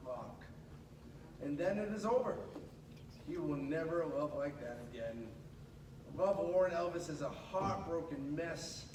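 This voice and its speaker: A high-voiced man reads a storybook aloud with dramatic pauses and drawn-out emphasis. He is some distance from the mic in an echoey room, with clear noise behind him. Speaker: radio host reading a storybook aloud. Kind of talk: animated read-aloud of a children's picture book.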